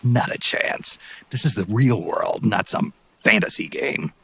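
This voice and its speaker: An actor playing a gruff, aging soldier in a video game, terse and dry with a gravelly rasp. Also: male voice, fairly low pitch, unhurried, bright, forceful tone, no room sound, exaggerated voice. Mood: stern. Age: older. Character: gruff, world-weary aging male soldier, sardonic and terse. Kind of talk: video game cutscene acting.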